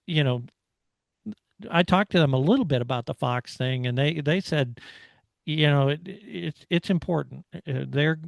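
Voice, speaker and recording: A man co-hosting a motorsport discussion, talking casually and haltingly as he analyses. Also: medium pitch, vocal fry, no echo, studio quality. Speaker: motorsport podcast host.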